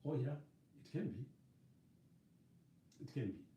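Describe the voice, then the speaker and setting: A middle-aged man with a Zimbabwean accent, an evangelist chatting on a live stream, slightly hoarse and rambling with many fillers and restarts. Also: medium pitch, animated, unhurried, warm tone. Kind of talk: casual live-stream chat. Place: living room with a leather sofa and shelves. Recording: roomy, distant webcam sound with faint noise.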